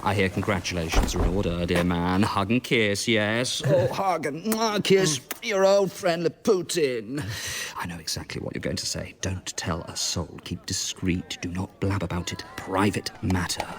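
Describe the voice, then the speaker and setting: Guy acting gushy busybody, lowish voice, knowing, chatters in little bursts, radio play. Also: normal speed, lightly acted. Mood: effusive. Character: gushing, nosy, effusive gossip of a man.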